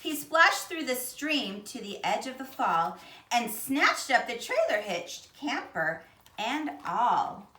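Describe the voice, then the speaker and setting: A woman reading a children's picture book aloud in an expressive, deliberate way, with dramatic emphasis. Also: on the high side, distant mic. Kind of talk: animated children's storybook read-aloud. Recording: echoey, roomy sound.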